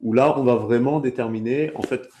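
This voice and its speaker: Relaxed, dark-toned man explaining step by step. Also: mid-range voice, fairly quick, slight background noise. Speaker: sales trainer.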